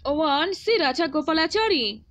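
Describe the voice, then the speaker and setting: A woman's voice: high-pitched, animated, unhurried, a bit bright. A woman teaching an online exam class in an instructional way, often repeating phrases and adding short check-in questions. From India. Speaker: exam-prep history teacher. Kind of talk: online class, reading quiz questions and answers with encouraging advice.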